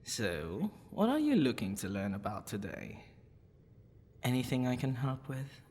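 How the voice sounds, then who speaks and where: Man acting a slow, husky, flirty dragon-blooded librarian. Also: medium pitch, wildly swooping pitch, a little mellow, in a large hall, exaggerated voice. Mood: playful, insistent.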